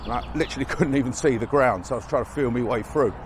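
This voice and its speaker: A man's voice: medium pitch, fast talker. Chatty man walking outdoors. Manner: chatty, wry, a little out of breath. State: slightly chesty from a cold. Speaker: walking vlogger. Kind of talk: casual walk-and-talk narration. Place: outdoors by a busy motorway in winter fields. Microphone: chest-worn mic. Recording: outdoor mic with faint traffic noise.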